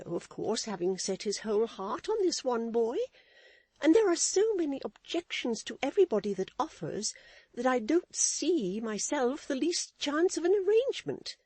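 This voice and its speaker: A woman's voice: very animated, normal speed, mellow, breathy edge, no room sound, exaggerated voice. A woman voicing a middle-aged wife who scolds her husband with withering, self-righteous indignation. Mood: fretful. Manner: high-pitched, withering, sharply emphatic.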